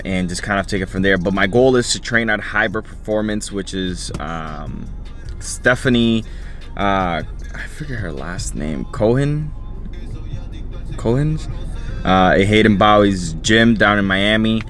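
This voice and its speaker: Young male vlogger from Puerto Rico, low-ish voice, upbeat and rambling, recorded in a car. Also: fairly bright tone. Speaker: vlogger and powerlifter. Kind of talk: casual vlog chat to camera. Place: inside a car while driving.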